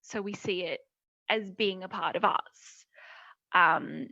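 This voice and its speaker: A woman's voice: fairly low pitch, slow pace, gravelly, bright, forceful tone, no room sound. A woman speaking on a panel in a rambling, casual way with many fillers. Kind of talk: panel discussion. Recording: dry, dull lo-fi sound.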